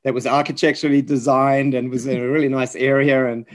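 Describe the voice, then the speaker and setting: An older man with a mixed South African and New Zealand accent, telling personal stories reflectively as an interview guest, in a halting, hesitant way. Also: mid-range voice, flat delivery. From South Africa, later New Zealand. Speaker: podcast guest, physician and chaplain.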